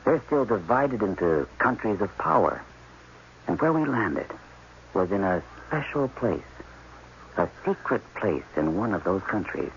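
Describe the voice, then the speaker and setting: An actor playing an embittered, fatalistic scientist held captive, speaking emphatically in a 1980s radio drama. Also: male voice, lowish voice, sing-song, measured pace, gravelly, heavily acted. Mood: grim, hushed. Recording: dull, noisy old radio broadcast.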